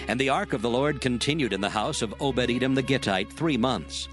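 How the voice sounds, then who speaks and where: A man narrating dramatized scripture in a measured, formal way. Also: medium pitch, soft background music.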